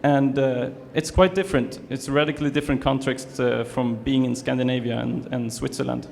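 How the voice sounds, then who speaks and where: A man's voice: mid-range voice, steady tone, a little fast, creaky, a little mellow. A young man from Sweden giving a student presentation, hesitant with many fillers and restarts. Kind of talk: presentation to an audience. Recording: reverberant hall, faint ambience.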